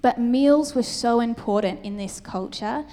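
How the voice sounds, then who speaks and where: Woman preacher, slightly croaky, warm dark tone, friendly teaching with light humor, reverberant hall. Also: a little high, unhurried. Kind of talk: sermon.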